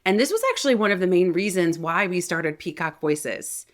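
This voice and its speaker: A woman's voice: fairly low pitch, animated, normal speed. Woman voice coach giving instruction. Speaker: voice coach and channel host. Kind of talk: instructional talk to viewers.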